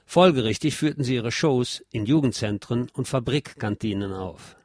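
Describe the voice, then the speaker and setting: A man presenting a music history programme in scripted, measured and articulate documentary narration. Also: medium pitch, darkish tone, dry recording. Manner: measured, articulate lecturing delivery.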